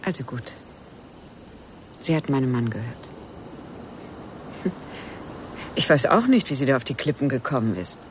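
An actress playing a guarded, wistful widow who speaks evasively and intimately in a German radio crime drama. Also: female voice, extremely low pitch, expressive, fairly quick, a little hiss or hum. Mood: guarded, melancholy.

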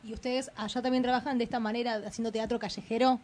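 A woman's voice: on the high side, level tone, quick pace, darkish tone, slight background noise. A woman hosting a live radio interview, asking questions in a friendly, conversational way. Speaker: radio host.

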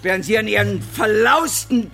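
An actor playing a belligerent, foul-mouthed, sarcastic war correspondent in a German radio play. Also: male voice, high-pitched, moderate pace, creaky, music in the background. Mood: sneering, bitter. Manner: aggressive, sarcastic.

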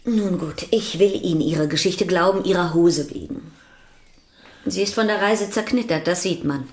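An actress playing a stern, suspicious housekeeper in a radio drama, brisk, officious and disapproving. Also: female voice, on the low side, a little mellow, reverberant, lightly acted. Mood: sceptical, disapproving. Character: stern, suspicious, bossy female household gatekeeper.

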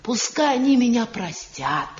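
An actress playing an older village mother in a radio drama, gossipy, folksy and excitable, doting on her son. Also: on the high side, unhurried. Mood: fond, chatty. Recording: muffled old radio play recording, music behind.

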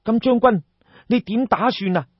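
A man's voice: high voice, moderate pace, breathy edge, no room sound, muffled recording, voice pushed up. A man voicing a naive, polite young nobleman in Cantonese, anxious and full of startled questions.

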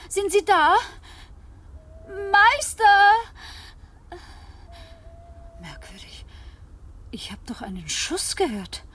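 An actress playing a callous, scornful blackmailer in a German radio drama, talking dramatically to herself. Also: female voice, very high voice, distant mic, heavily acted. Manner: dramatic exclaiming and muttering to herself.

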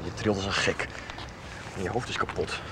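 An actor playing a practical, sceptical traveller in a radio drama, speaking matter-of-factly. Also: male voice, sits low, steady tone, normal speed, noisy background, natural voice. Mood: concerned, practical. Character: practical, sceptical male traveller helping a stranger. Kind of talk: 1990s radio drama acting.

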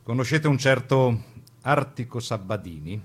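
An actor playing a menacing, coldly polite fascist interrogator in a radio drama, with a condescending manner. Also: male voice, medium pitch, animated, unhurried, husky. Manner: condescending, insinuating. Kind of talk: stage radio drama dialogue.

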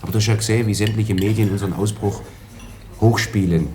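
An actor playing a cheeky, flirtatious escaped convict in a radio play, breezy and colloquial. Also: male voice, on the low side, steady tone, quick pace, noisy background, close to an everyday voice. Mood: casual, scheming. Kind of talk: 1980s German radio play acting.

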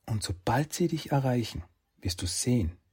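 A man voicing a gentle, mystical female fox spirit, speaking softly and often in a whisper. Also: mid-range voice, animated, moderate pace, husky, voice pushed up. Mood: soothing, hushed. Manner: soft, hushed, often whispering.

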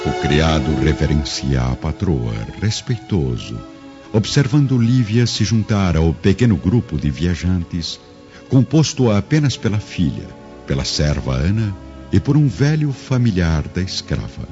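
Deep voiced guy narrating a radio drama, solemn n expressive, music behind, bit muffled. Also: measured pace. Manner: literary, solemn. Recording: muffled lo-fi recording under music.

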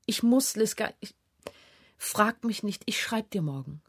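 A woman's voice: medium pitch, lively intonation, unhurried, husky, no room sound, studio quality. An actress playing a frightened, loving young woman on the run in a radio drama, telling her story in short, intense sentences. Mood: urgent, secretive. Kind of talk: radio drama, first-person inner narration.

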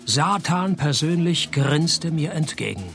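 An actor playing a laconic, cynical private detective who narrates and talks in a dry, deadpan way in a radio play. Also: male voice, fairly high pitch, slow, gravelly, faint music behind, full character voice. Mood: deadpan narrating.

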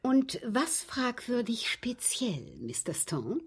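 Genteel, husky, coolly composed lady poisoner. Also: a little high, big pitch swings, takes it slowly, full character voice. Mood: polite, composed. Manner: polite, precise, unruffled, coolly amused. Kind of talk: German radio drama acting.

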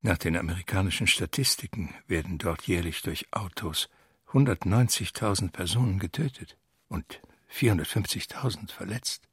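An actor playing a composed, factual gentleman in a literary radio drama, husky and matter-of-fact, with a pedantic manner. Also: sits low, normal speed, no room sound, studio quality, exaggerated voice. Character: composed, factual gentleman companion explaining to a lady.

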